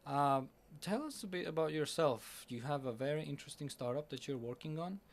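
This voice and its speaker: Eager, halting podcast host with gravel. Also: male voice, fairly high pitch. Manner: enthusiastic, halting, many fillers and restarts. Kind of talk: casual interview talk. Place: busy conference hall.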